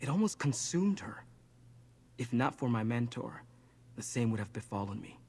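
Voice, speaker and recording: A man voicing an earnest, protective young man with a husky voice in a video game. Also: medium pitch, big pitch swings, normal speed, a little mellow. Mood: grave, recounting. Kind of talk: video game character dialogue.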